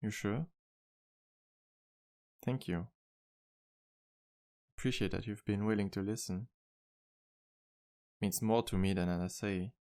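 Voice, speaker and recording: Sweet, courteous male vampire barkeep played by a man for ASMR roleplay: deepish, heavy vocal fry, intimate and sincere. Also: takes it slowly, pauses often. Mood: relieved, grateful. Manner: soft-spoken, polite, earnest, flirtatious. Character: charming, sweet male vampire bartender, earnest and wistful. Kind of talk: ASMR roleplay, intimate one-sided dialogue to listener.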